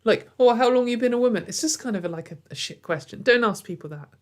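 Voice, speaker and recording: A woman's voice: fairly high pitch, lively intonation, fast. A woman hosting a casual commentary video from home, sarcastic and exasperated, with frequent sighs and fillers.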